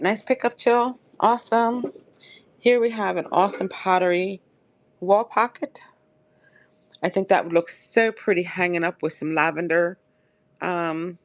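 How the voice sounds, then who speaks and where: A woman hosting a live online auction of pottery, chatty and upbeat, her throat dry and crackly. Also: fairly low pitch. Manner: chatty, upbeat, addresses viewers by name. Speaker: live-stream seller. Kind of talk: live auction selling chat.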